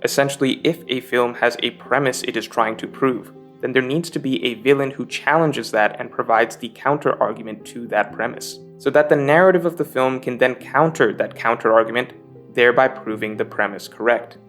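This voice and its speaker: A man narrates a scripted video essay in an articulate, explanatory manner. His voice sits at an ordinary middle pitch, and music plays softly behind him. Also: normal speed.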